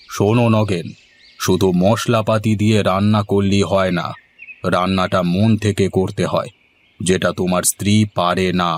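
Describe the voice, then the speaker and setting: A man voicing a commanding village headman in a moral-story cartoon, speaking deliberately and with authority. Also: sits low, slight background noise, close to an everyday voice. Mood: admonishing. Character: authoritative village headman who loves good food.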